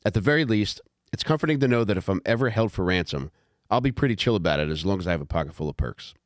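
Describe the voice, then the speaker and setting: Guy reading an audiobook, low voice, wry and sweary, really dry studio sound. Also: lively intonation, quick pace, no echo. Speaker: narrator. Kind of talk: scripted audiobook narration of comic memoir.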